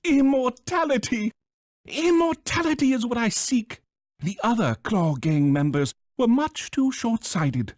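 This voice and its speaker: Scheming little bird villain, British accent, pompous, theatrical, wildly swooping pitch, dry studio sound, video game. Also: male voice, lively intonation, unhurried, dry recording. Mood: gloating. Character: pompous, scheming, flightless male bird villain, small and elderly.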